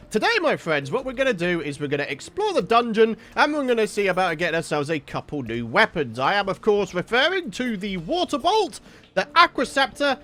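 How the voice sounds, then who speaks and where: High, lively gamer, stuffy-nosed. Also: male voice. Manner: upbeat, chatty, exclamatory. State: stuffy nose from hay fever. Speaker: gaming YouTuber. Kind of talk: let's play commentary.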